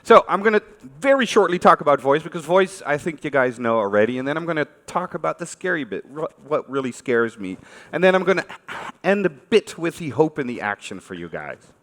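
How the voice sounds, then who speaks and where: A man's voice: a little high, very animated, fairly quick, few pauses, fairly bright tone. A man from the Netherlands with a Dutch accent gives an informal conference talk, speaking conversationally and putting questions to his listeners. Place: large conference hall. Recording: reverberant hall stage recording.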